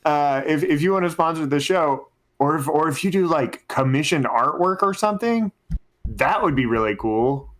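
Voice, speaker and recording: A man's voice: on the high side, expressive, moderate pace, a bit bright. A man hosting a podcast in casual, informal chat with a joking manner. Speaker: podcast host and game master.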